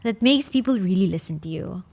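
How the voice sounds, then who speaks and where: A young woman with a Filipino accent speaking at a medium pitch. She talks in a friendly, easygoing and slightly tentative way, filling her sentences with "like" as she chats casually in English mixed with Tagalog. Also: lively intonation, brisk, vocal fry, a little mellow. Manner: friendly, tentative, uses "like" a lot. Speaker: podcast co-host.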